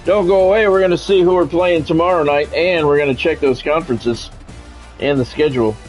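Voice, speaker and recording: A man's voice: a little high, fairly quick, faint music behind, rough recording. A man calling a video game football match as a streamer, mixing announcer-style play-by-play with wry, grumbling, sometimes profane casual asides. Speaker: gaming streamer doing play-by-play commentary. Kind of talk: sports play-by-play commentary mixed with casual asides.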